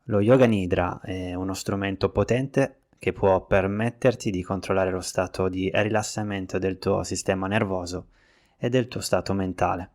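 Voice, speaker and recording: Deep-voiced man guiding meditation. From Italy. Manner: calm, measured instructing with pauses. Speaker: guided meditation instructor, physiotherapist. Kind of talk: guided relaxation meditation narration.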